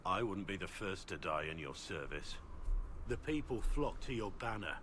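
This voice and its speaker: A very deep-voiced, solemn Grey Warden, world-weary and devoted to his cause. He speaks with grim intensity in fantasy game style, over clearly noticeable background noise. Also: big pitch swings, moderate pace, pushed and bright, exaggerated voice. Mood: grim resolve. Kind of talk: dramatic fantasy video game acting.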